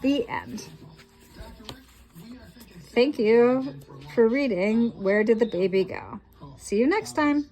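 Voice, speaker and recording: A woman reads a children's picture book aloud, taking her time over each line. Her voice sits on the high side and swoops lively up and down in expressive storytelling. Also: slow, long pauses, faint music behind.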